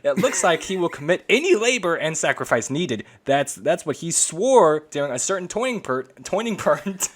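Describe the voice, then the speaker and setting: A man's voice: a little high, big pitch swings, moderate pace. A man co-hosting a podcast, reading lines aloud in between banter and stumbling now and then. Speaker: podcast co-host.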